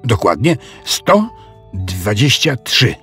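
Male narrator as earnest little boy, naive, slow drawn-out words, slightly husky, storybook audio, faint music. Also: on the low side, sing-song, a bit bright, exaggerated voice. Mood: cheerful. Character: cheerful, naive, anxious young schoolboy.

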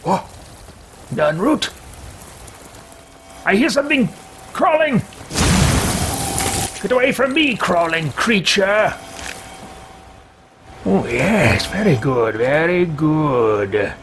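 A man putting on a gloating fantasy villain mage, pompous, very slow drawling pace, swooping pitch, video game roleplay. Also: big pitch swings, exaggerated voice. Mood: agitated, then pleased. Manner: theatrical, pompous, drawn-out delivery. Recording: faint background noise, game ambience.

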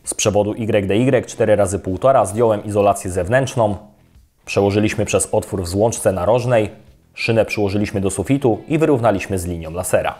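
Young guy narrating a how-to install, low steady voice, soft guitar underneath. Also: brisk. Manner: calm, even, step-by-step instructional delivery. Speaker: electrician presenting a how-to video. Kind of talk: scripted voice-over narration. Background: soft guitar music.

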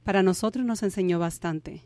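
A woman in her thirties, born in Mexico, speaking as an interview guest and telling her story candidly with pauses and restarts. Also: medium pitch, a little mellow, dry recording. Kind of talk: conversational interview.

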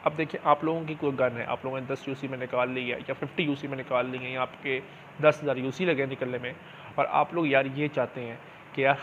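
A man's voice: medium pitch, a bit bright. A man gives a casual, explanatory game tutorial, often adding little check-in tags as he goes. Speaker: gaming channel host. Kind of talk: casual screen-recorded game tutorial commentary. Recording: dull lo-fi mic with clear background noise.

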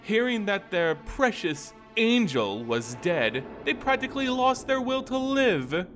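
A man voicing a gloating, cruel female vampire lord in a slow, theatrical fantasy story reading. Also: sits high, very animated, a bit bright, faint music behind, voice pushed up, full character voice. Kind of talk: dramatic fantasy audiobook character voice.

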